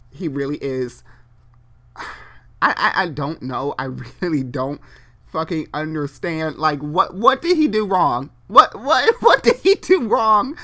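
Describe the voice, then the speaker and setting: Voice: mid-range voice, bright, forceful tone. A host giving a dramatic story reading, stumbling over the text and breaking into exasperated, sweary commentary.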